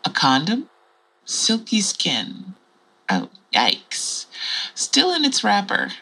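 A woman's voice: mid-range voice, wildly swooping pitch, slow, vocal fry, bright, forceful tone, breathy edge, exaggerated voice, rough recording. A woman playing an enigmatic, blunt traveller in an audio drama, musing candidly and swearing freely. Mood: amused, blunt.

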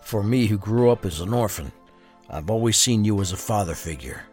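A man acts a loyal criminal lieutenant in an audio thriller. The voice sits low, with an earnest, measured delivery. Faint music plays behind him. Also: darkish tone, natural voice. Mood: grateful, devoted. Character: loyal, grateful male gangster, the boss's trusted right-hand man. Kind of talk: crime thriller audio drama dialogue.

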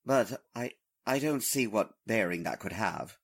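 A man playing a puzzled, doubtful gentleman with a husky voice, in 1940s radio drama style. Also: on the low side, lively intonation, a little slow, dry recording, clean studio sound, exaggerated voice.

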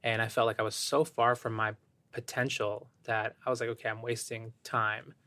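A young man from Ecuador speaking as a podcast guest, soft-spoken and thoughtful, with many fillers as he reflects. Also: mid-range voice, level tone, moderate pace, no room sound. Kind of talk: reflective interview talk.

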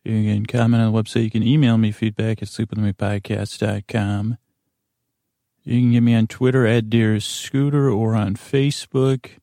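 A man hosting a bedtime podcast in a meandering, halting way, often restarting and correcting his own sentences, with a gravelly voice. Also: on the low side, flat delivery, mellow, no room sound, studio quality. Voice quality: gravelly, creaky. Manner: meandering, halting, self-correcting with repeated false starts. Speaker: podcast host. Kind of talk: rambling bedtime podcast intro.

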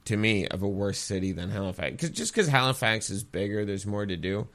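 A young man from Newfoundland talks in a relaxed, casual way, with just a trace of the island's accent. His voice sits low but moves around a lot in pitch, and he delivers grim jokes completely deadpan before laughing. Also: fairly quick. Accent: faint Newfoundland. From Newfoundland, Canada. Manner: deadpan dark joking, bursts of laughter. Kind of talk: casual chat.

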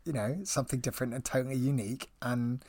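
A man's voice: mid-range voice, fairly even intonation, quick pace, darkish tone, no room sound. A young man co-hosting a podcast, enthusiastic and giggly, joking along. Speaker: podcast co-host. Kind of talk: casual chat.

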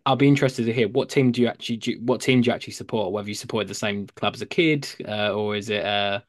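Young English male podcast host, lowish voice, dry sound, hesitant. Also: quick pace, no echo. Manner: hesitant, many fillers and restarts. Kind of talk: casual chat.

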